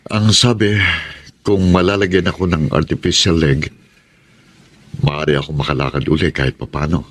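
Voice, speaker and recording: A low-voiced, despairing husband in radio drama. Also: male voice, steady tone, moderate pace. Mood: resigned. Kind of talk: Tagalog radio drama acting.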